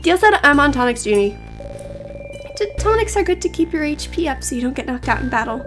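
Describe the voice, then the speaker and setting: Woman playing an eager, high-voiced little kid. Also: very animated, brisk, a bit bright, soft background music. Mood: earnest, proud.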